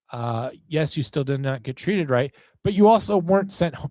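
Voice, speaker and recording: American man, political podcast host, slightly high voice, sarcastic and profane, very dry sound. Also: a little mellow, no echo. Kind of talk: opinionated political monologue.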